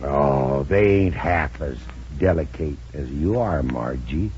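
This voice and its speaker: A man's voice: rumbling low, lively intonation, darkish tone, a little hiss or hum, heavily acted. An American actor playing a bumbling, wheedling sea captain in a radio comedy, stammering and gushing at his sweetheart. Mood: sweet-talking. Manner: stammering, drawn-out hesitations. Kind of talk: 1940s radio comedy acting. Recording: muffled old radio broadcast recording.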